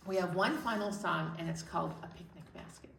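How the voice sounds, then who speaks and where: A woman hosting a storytime for young children, talking in an exaggerated, animated way as if to little listeners. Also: fairly low pitch, a little fast, vocal fry. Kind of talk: read-aloud storytime presentation with songs. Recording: roomy echo, distant mic, faint noise.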